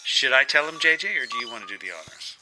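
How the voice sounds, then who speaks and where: A man's voice: medium pitch, animated, fast, sharp tone, faint music behind, poor audio. A man playing a smug, easygoing secret agent in a comedic audio drama, casual and needling. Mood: mock friendly.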